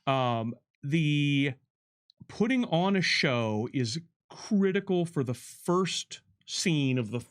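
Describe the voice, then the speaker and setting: A man speaking as a game developer in a casual commentary chat, agreeable and quick to affirm what is said. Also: on the high side, animated, clean tone. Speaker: game developer reading out and answering viewer questions.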